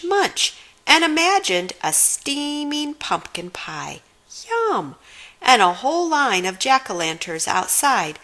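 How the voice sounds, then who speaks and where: Slow, very animated woman storyteller. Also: mid-range voice. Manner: dramatic sound-word emphasis. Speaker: storybook reader. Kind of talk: expressive children's read-aloud.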